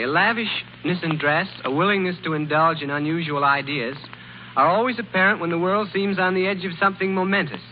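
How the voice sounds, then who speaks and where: A man answering questions in a measured, explanatory way on a 1930s radio interview. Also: a little high. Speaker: guest. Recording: muffled old radio broadcast with hiss.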